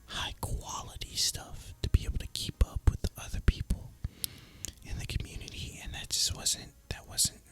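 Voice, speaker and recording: A man whispers in a breathy, unvoiced voice, rambling casually and reflectively in a relaxed gaming ASMR style, close to the microphone. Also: normal speed. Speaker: video creator and gamer.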